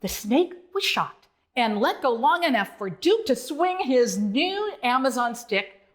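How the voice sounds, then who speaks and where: High, animated woman reading a storybook. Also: unhurried, slight background noise. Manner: dramatic, animated. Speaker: children's author reading their own book. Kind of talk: expressive storybook narration with asides.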